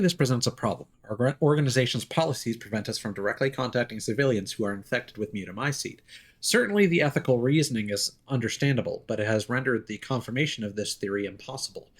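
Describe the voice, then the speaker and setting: A man giving casual live gameplay commentary, musing aloud and reading in-game notes out as he goes. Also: mid-range voice. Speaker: video game streamer.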